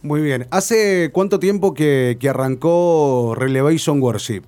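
A man hosting a radio interview, asking questions in a relaxed way with many hesitant fillers. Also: fairly high pitch, slow pace. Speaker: radio host.

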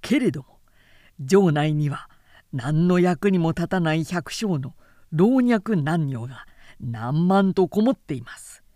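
A woman's voice: sits low, animated, slow pace, mellow, no room sound, clean studio sound. A woman voicing an earnest, ruthless male military adviser in an audiobook, speaking in a firm, formal, persuasive way. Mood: firm, urging.

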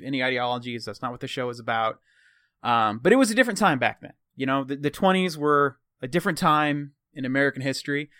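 A man's voice: a little high, fairly bright tone, dry recording, studio quality. Slightly Texan man, rambling lore narration. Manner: casual, rambling, self-correcting. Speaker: host narrating a fictional world's history. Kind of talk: improvised worldbuilding narration.

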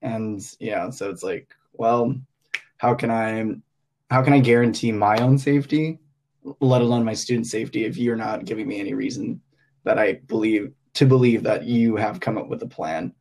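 A man's voice: fairly low pitch, fairly even intonation, normal speed, a little mellow. A young man from Hong Kong, a teacher and podcast guest, talking casually and hesitantly, thinking aloud with many fillers.

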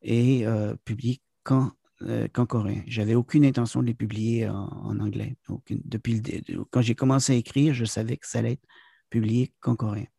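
Gravelly, husky Québécois man talking. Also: mid-range voice, steady tone, moderate pace, warm tone, dry recording, studio quality. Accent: Québécois French. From Quebec. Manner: hesitant, many fillers and restarts. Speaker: guest, trainer and author. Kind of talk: casual interview talk.

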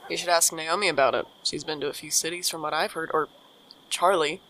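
A woman's voice: low voice, fairly even intonation, brisk, bright, forceful tone, a little hiss or hum, poor audio. A woman playing a young, caring friend with dry, casual banter in an audio drama. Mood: wry, easy. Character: young Micah, teasing, caring friend and host. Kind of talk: naturalistic audio drama acting.

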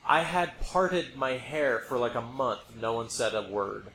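A man's voice: mid-range voice, lively intonation, a little slow, a bit bright. A man hosting a podcast, explaining his opinions casually and emphatically, repeating points for effect. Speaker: podcast host. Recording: roomy echo, background noise.

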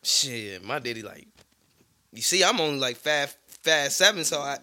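A man in his twenties talks as a guest on a podcast in a laid-back, chatty way, often tagging his sentences with fillers. His voice sounds very bright and sharp, in an average pitch. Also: animated, moderate pace. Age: 20s. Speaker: guest comedian. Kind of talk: casual chat.

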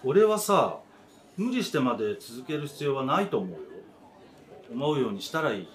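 A man's voice: mid-range voice, very animated, normal speed, natural voice. A man in his thirties playing a supportive, frank old friend in a radio drama, talking in a casual, encouraging way. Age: 30s. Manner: casual, plain-spoken, encouraging.

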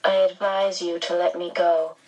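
A woman voicing a small possessed boy in an audiobook, with a canned, calm, coldly reasonable manner. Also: on the low side, moderate pace, lightly acted.

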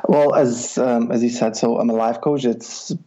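A man's voice: mid-range voice, steady tone, moderate pace, mellow, husky. A man from the Republic, a life coach talking as a podcast guest over an online call, hesitant with many ums. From Czech Republic. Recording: dull lo-fi online call audio.

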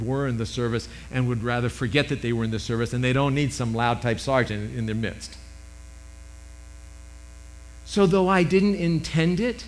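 An older man in his sixties delivers a sermon in a loud, carrying voice. He leans into emphatic points, then pulls back to a hush. His pitch sits in the ordinary middle range for a man, and he speaks into a microphone. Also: slight background noise, poor audio. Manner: emphatic preaching, swinging from loud to hushed. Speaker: preacher.

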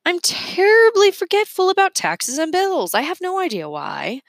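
Whimsical, scatterbrained male inventor for a children's storybook, read by a woman; raised pitch, emphatic delivery. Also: huge pitch swings, normal speed, no echo, clean studio sound, heavily acted. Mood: breezy, confiding. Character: eccentric, forgetful, delighted male inventor in a storybook.

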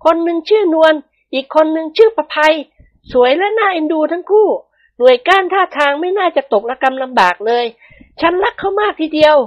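A woman's voice: extremely high pitch, animated, fairly quick, muffled recording, pitched above the performer's own voice. A woman voicing a genteel wealthy lady of the house who speaks sweetly and politely in a storybook reading.

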